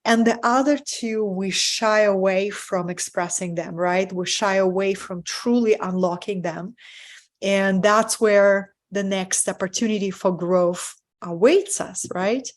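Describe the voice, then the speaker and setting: A woman's voice: mid-range voice, fairly even intonation, a little slow. Russian-accented woman leading a webinar. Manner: warm, earnest, often asks "right?" to the audience. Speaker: spiritual business mentor. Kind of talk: live webinar presentation.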